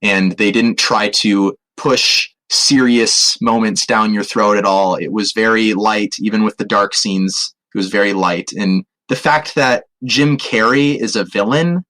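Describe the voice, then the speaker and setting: A man's voice: lowish voice, steady tone, measured pace, few pauses, fairly bright tone, poor audio. A man co-hosting a podcast, chatting casually about his opinions in a hesitant way that often repeats phrases. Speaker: podcast co-host. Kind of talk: casual movie review chat.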